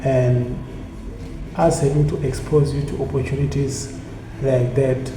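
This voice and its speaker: Earnest man, flat voice. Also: medium pitch. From South Africa. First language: Tsonga. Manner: earnest, halting, repeats phrases. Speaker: community initiative founder. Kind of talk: reflective motivational monologue. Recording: echoey room with steady background noise.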